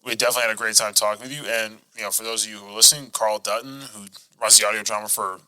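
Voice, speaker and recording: A man's voice: lowish voice, fairly even intonation, very fast, bright, forceful tone, poor audio. An American man in his thirties hosting a podcast in a casual, enthusiastic way. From United States. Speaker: podcast host.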